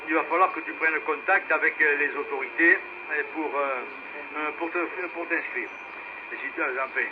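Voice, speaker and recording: A man hosting an amateur radio net in casual, hesitant, rambling talk over a two-way radio. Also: a little high. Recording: noisy, muffled VHF radio relay.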